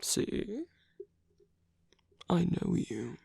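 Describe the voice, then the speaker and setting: A man playing a suave, sly aristocratic villain in an ASMR roleplay, speaking in a soft, breathy, often near-whispered voice with languid, teasing politeness and veiled menace. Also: high-pitched, sing-song, drawn-out words, dry recording, heavily acted. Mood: teasing, seductive. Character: suave sly aristocratic villain, flirting and secretly scheming at a masquerade. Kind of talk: ASMR roleplay, intimate scripted acting.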